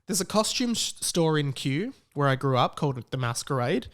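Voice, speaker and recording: An Australian man hosting a podcast, telling a personal story in a casual, chatty and animated way. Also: a little high, moderate pace. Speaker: podcast host.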